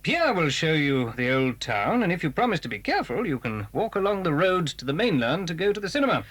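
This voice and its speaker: A man's voice: fairly high pitch, sing-song, fairly quick, fairly bright tone. A man playing a stern, formal upper-class English stepfather in a children's audio drama. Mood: polite, awkward. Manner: formal, strict, easily irritated.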